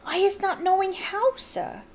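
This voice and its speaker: A woman putting on a squeaky terrified elf. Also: measured pace. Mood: pleading. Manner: gasping, pleading, broken grammar. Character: frightened squeaky female house-elf servant.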